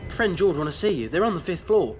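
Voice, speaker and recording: An actor playing an eager, loyal, sometimes grumbling teenage boy in a video game, excitable and colloquial, with a British accent. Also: high voice, quick pace, over music. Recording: noisy outdoor ambience behind.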